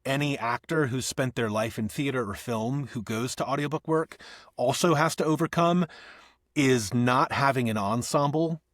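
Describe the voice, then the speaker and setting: A man, an actor and audiobook narrator, talking as a podcast guest, thinking aloud with restarts and soft laughs. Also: mid-range voice, moderate pace, no room sound, studio quality. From Northeast Ohio. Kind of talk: conversational podcast interview.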